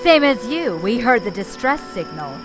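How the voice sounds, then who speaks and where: A woman voicing a gloating fox villain commander in a science-fiction audio drama, theatrical, drawn-out and taunting. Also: a little high, big pitch swings, over music. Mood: gloating, triumphant.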